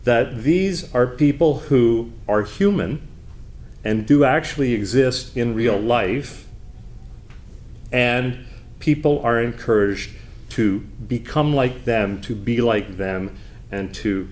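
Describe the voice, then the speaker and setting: A man delivering an off-the-cuff debate speech as opposition leader, formal and deliberate, with halting phrasing. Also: medium pitch, darkish tone. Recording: background noise, live room.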